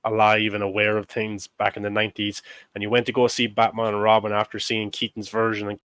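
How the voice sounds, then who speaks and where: A man's voice: fairly low pitch, completely flat delivery, a little fast, fairly bright tone, smooth, no room sound. A young man in his twenties hosting a casual film discussion, rambling through facts with lots of fillers and little laughs. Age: 20s. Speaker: host.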